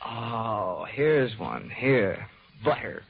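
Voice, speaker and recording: An actor playing a cocky, wisecracking American insurance investigator in an old-time radio detective drama, with a wry, punchy delivery. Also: male voice, medium pitch, very animated, drawn-out words, creaky, heavily acted. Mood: breezy, confident. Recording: dull vintage radio recording.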